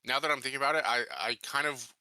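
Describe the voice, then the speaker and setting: A man's voice: mid-range voice, steady tone, quick pace, pushed and bright, no echo. A man playing a tabletop game, mixing improvised roleplay with casual table talk and hesitantly thinking aloud.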